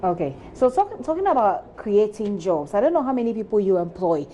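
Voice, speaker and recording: A woman's voice: mid-range voice, sing-song, a little fast, warm tone, slight background noise. A woman with a Ghanaian accent interviewing a guest on a broadcast news show.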